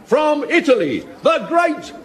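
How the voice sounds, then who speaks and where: A grandly pompous stage compere. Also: male voice, very high voice, wildly swooping pitch, a little slow, heavily acted. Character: theatrical variety-show compere.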